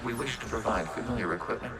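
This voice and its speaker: A man playing a calm, logical machine intelligence in a video game, speaking in a formal, measured, emotionless way. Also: mid-range voice, crowd noise, lightly acted. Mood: measured, matter-of-fact. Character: calm, logical male-voiced robotic AI collective.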